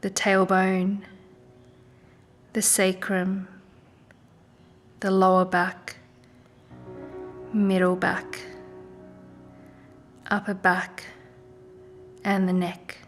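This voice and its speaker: A woman leading a guided meditation in a soft, gentle, soothing manner with unhurried pauses. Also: mid-range voice, completely flat delivery. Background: music.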